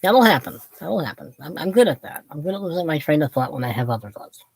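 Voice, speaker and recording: Woman, late fifties, low pitch, dry recording, casual book chat, rambling, opinionated, self-deprecating. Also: very animated, fast, darkish tone, husky, no room sound, low-quality recording. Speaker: book vlogger.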